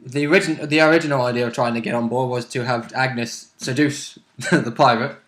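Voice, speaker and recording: A man's voice: mid-range voice, steady tone, fairly quick, fairly bright tone. A man chatting casually as a tabletop player, recounting his character's exploits in a jokey, chuckling way. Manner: jokey, often chuckling while talking. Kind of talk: casual chat.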